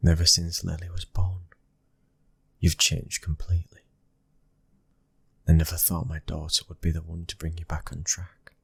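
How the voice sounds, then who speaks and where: A British man playing a tender, devoted mafia husband and father in a soft ASMR roleplay, speaking in a gentle, breathy hush and often whispering. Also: low voice, completely flat delivery, normal speed, pauses often, dry recording, heavily acted. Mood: soothing, hushed.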